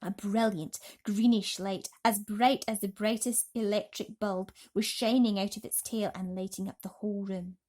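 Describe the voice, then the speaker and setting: A woman's voice: medium pitch, fairly even intonation, moderate pace, few pauses, darkish tone. Woman reading a children's bedtime story.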